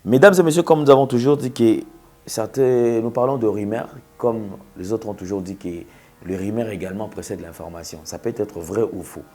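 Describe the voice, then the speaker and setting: A man's voice: fairly low pitch, fairly quick, dark tone, soft background music. A man with a Congolese accent hosting a TV talk show, speaking in an opinionated monologue with rhetorical questions and emphatic repetition. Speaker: TV host.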